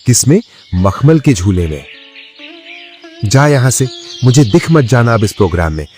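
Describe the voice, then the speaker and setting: A man's voice: medium pitch, big pitch swings, moderate pace, darkish tone, faint music behind, natural voice. A man voicing an arrogant, domineering rich elder brother in a moral-story cartoon. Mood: scornful anger. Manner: scornful, domineering.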